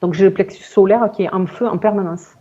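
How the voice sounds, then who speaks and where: A woman in her forties giving a halting personal account in conversation, searching for words and often repeating herself. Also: medium pitch, steady tone, mellow, lo-fi sound. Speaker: client in a hypnosis session interview.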